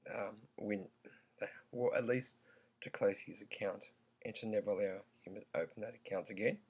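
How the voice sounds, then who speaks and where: A man with a croaky voice, giving his view in a slow, halting, earnest vlog full of pauses and ums. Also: on the low side, fairly even intonation, darkish tone. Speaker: vlogger. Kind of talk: opinion vlog.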